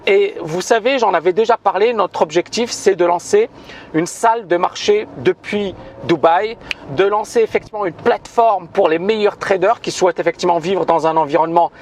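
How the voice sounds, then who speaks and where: High-voiced man, city traffic behind. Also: a little fast, darkish tone. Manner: emphatic, repeats himself, many hesitant fillers. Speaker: trading school founder and trainer. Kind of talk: spoken video announcement to viewers.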